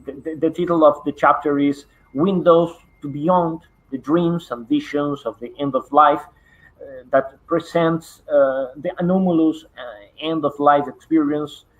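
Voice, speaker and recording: A man's voice: on the high side, steady tone, highs cut off. Spanish-speaking man giving an online talk. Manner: halting, many fillers and repeated words. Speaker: conference presenter. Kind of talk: online lecture presentation. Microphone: online conference call.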